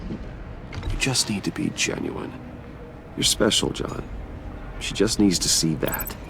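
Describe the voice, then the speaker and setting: Guarded, very deep-voiced game hero. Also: male voice, expressive, slow pace, gravelly, noisy background, heavily acted. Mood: guarded, reassuring. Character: guarded, composed male billionaire, patient confidant. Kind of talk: understated video game voice acting. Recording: music behind the voice.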